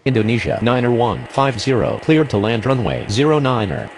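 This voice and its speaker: Gravelly man acting a male aviation radio voice. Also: medium pitch, animated, normal speed, slight background noise, lightly acted. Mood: procedural. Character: male airline pilot or controller on radio. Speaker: air traffic radio voice in a game. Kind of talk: scripted air traffic control phraseology.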